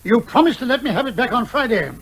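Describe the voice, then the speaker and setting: Short-tempered indignant major, high-pitched, animated swoops, peremptory, spluttering, comic radio play. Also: male voice, fast talker, a little hiss or hum, exaggerated voice. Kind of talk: comic radio drama acting.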